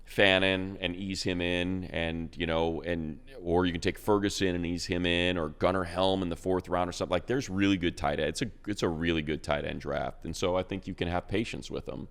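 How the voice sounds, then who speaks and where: A man with a very deep, smooth, clear voice talks football in a loose, casual way. He restarts sentences and trips over his words as he thinks aloud, in a relaxed way. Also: fairly quick, few pauses. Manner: rambling, many restarts and repeated words. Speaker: radio play-by-play announcer and podcast co-host. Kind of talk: casual sports chat.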